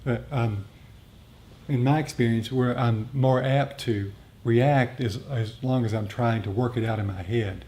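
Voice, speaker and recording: A man teaching meditation in a talk with questions and answers, speaking in a halting, reflective, explanatory way. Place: group meditation gathering. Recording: rumbly background noise.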